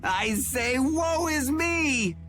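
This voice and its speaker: An actor playing a melodramatic, bumbling knight underling who whines, in a video game. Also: male voice, very high-pitched, animated, drawn-out words, a bit bright, faint music behind, full character voice. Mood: woeful. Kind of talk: animated video game acting.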